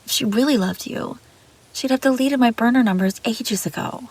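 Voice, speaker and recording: A woman's voice: a little high, normal speed, a little mellow, slightly rough. A woman playing an obsessive, scheming young babysitter in an intimate audio roleplay, pleading and defensive. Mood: flustered, defensive. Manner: pleading, defensive, hesitant then insistent. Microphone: close, dry microphone.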